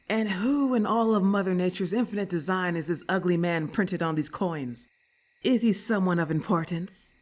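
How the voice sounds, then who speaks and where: A woman voicing a haughty, irritable lamia in an ASMR roleplay, with hissing, imperious and formal speech, scornful and menacing. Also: on the low side. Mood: disdainful.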